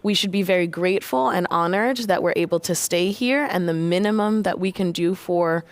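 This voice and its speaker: A woman's voice: lowish voice, a little fast, dry recording. A woman speaking earnestly and emphatically as a guest in a studio panel conversation. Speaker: international alumna panel guest. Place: studio for a live stream.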